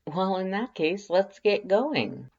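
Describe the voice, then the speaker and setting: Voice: medium pitch, animated, slow pace, a little mellow, slightly rough, muffled recording, voice pushed up, exaggerated voice. A reader voicing a reluctant, wry young human who grumbles plaintively in fan fiction dialogue. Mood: upbeat.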